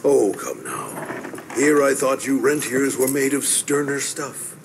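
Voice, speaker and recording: An actor playing a middle-aged frontier priest in a game cutscene, fatherly and wry, with measured folksy speech. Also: mid-range voice, expressive, creaky, slight background noise. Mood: consoling. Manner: measured, folksy, gently preachy. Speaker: reverend. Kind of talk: video game cutscene acting.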